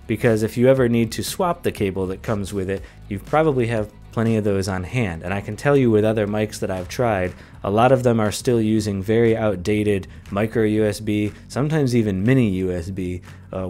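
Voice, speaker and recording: A man in his thirties giving a relaxed, measured product review from a small home office, speaking close into a desk condenser mic. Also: lowish voice, soft background music. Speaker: tech reviewer. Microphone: close desk condenser mic on boom arm.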